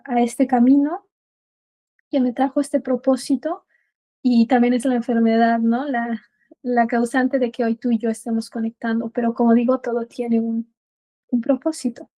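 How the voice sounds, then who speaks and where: A Mexican woman answering as an interview guest, earnest and halting, often repeating words as she goes. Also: a little high, mellow. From Puebla, Mexico. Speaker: podcast guest, biodecoding practitioner.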